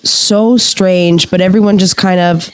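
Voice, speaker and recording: An actress playing an eager, credulous theatre member investigating a ghost in an audio play, with an earnest, halting, intrigued way of talking. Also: female voice, fairly low pitch, steady tone, smooth, no echo, lightly acted. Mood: earnest, uneasy. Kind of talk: audio play acting, interview-like conversation.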